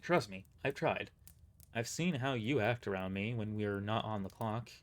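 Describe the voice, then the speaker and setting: A man voicing a guarded, blunt but caring young agent in an audio drama, halting and conflicted. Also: lowish voice, lightly acted. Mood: awkward, conflicted. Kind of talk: amateur audio drama dialogue.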